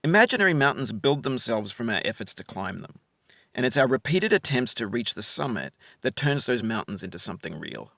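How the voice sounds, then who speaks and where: A man reads a reflective, essay-like narration in a measured and articulate way. His pitch is mid-range and moves with lively variation. The sound is very dry, with no room to it. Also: gravelly, dry recording. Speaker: game developer narrating his own game.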